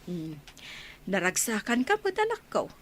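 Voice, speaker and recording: An actress playing a caring middle-aged mother in a radio drama, with a gentle, probing way of talking. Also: medium pitch, wildly swooping pitch, measured pace. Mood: concerned.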